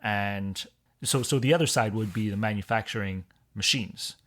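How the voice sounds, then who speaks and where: A man from Hong Kong, a podcast guest in casual conversation, explaining things in a measured way. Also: lowish voice, fairly bright tone.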